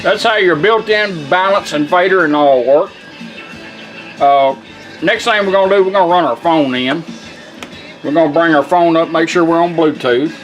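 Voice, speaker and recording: A man's voice: fairly high pitch, normal speed, low-quality recording. An American man giving a casual, plainspoken, step-by-step hands-on demonstration of a product. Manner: plainspoken, folksy step-by-step instruction. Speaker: product demonstrator for a radio restoration shop. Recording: music playing behind the voice. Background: guitar music from a radio.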